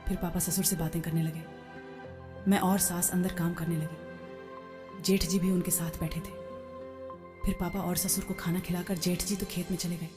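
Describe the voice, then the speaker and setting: Low, mellow woman narrator in heavy reverb. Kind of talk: scripted first-person story narration. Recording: long hall-like reverb. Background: background music.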